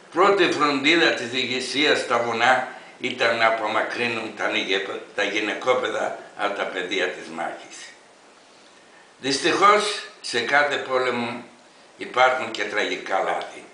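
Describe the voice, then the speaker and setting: A man in his eighties from Greece reading an open letter aloud in an emphatic, declamatory way, with deliberate phrasing. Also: mid-range voice, pushed and bright, roomy sound, slight background noise, low-quality recording. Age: 80s.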